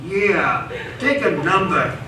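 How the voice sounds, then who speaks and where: Radio play villain, male actor: seasoned bomber, slow, theatrical and sarcastic, easily exasperated, recorded far from the mic. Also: sits high, expressive, bright, forceful tone, full character voice. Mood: weary sarcasm. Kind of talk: stage radio theatre comedy acting. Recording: echoey hall, distant mic, faint noise.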